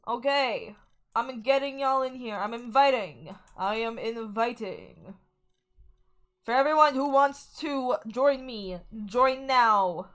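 A woman's voice: fairly high pitch, a bit bright. A young woman streaming a game, chatting casually and bubbly with her viewers. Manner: bubbly, chatty, talks to chat. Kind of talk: casual gaming stream chat.

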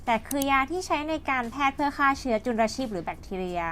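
High-pitched woman narrating an educational video. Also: expressive, quick pace, fairly bright tone, slight background noise. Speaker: host and narrator.

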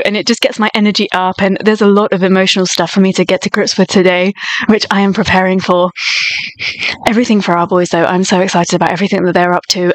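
A woman's voice: medium pitch, no room sound. A young woman reacting to videos in a casual vlog, a little nasal from a cold, gushing and laughing often. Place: small room indoors.

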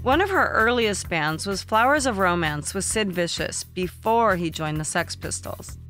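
A woman's voice: medium pitch, animated, moderate pace, fairly bright tone. A woman hosting a podcast, reading her scripted narration in an upbeat, enthusiastic way. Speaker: podcast host. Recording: music bed under voice.

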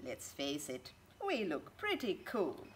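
Lively woman reading to children. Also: deep voice, takes it slowly, a little hiss or hum. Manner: expressive, questioning, addressing young children. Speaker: children's story reader and teacher. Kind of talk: interactive picture-book read-aloud for children. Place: outdoors on a home patio.

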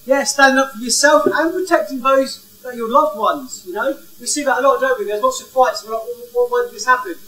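Lively man in an echoing hall. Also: extremely high pitch, brisk, few pauses. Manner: energetic, walks around gesturing, asks the crowd questions. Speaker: head of school leading an icebreaker. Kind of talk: interactive talk without a microphone. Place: large indoor hall. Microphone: no mic, far camera. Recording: roomy hall from a distance.

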